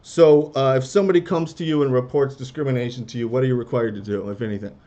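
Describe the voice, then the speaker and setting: A man's voice: medium pitch, quick pace, warm tone. A male attorney questions a witness over a video call, often breaking off and restarting his questions. The sound is muffled and dull, as if through a lo-fi connection.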